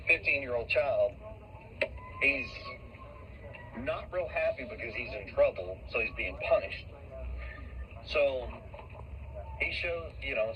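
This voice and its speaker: A man being interviewed, telling anecdotes casually in a folksy, halting way with repeated words. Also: mid-range voice, a bit bright. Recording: noisy played-back online interview clip.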